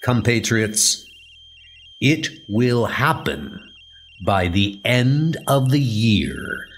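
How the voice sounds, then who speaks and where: A man's voice: medium pitch, animated, a little hiss or hum. A man voicing a portentous, scheming villain bent on world domination in an audio drama. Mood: menacing, grandiose. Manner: portentous, deliberate. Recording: music under the voice.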